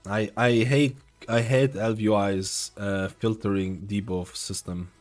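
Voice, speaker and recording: Male game streamer, lowish voice, opinionated off-the-cuff commentary with casual swearing, music behind. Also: fairly even intonation, measured pace. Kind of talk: casual live gaming commentary.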